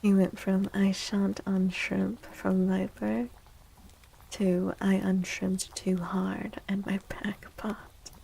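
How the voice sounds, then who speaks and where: A woman hosting a soft-spoken ASMR livestream and reading tarot, gentle and reassuring, often slipping into a whisper. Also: medium pitch, level tone, slow, vocal fry, a little hiss or hum, poor audio. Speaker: livestream host and tarot reader.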